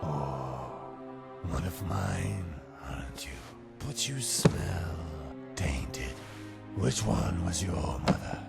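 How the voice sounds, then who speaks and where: An actor voicing a cruel, mocking ancient vampire lord in a game cutscene, slow and contemptuous. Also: male voice, lively intonation, exaggerated voice. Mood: sneering. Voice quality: deep and gravelly. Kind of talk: video game cutscene acting. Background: background music.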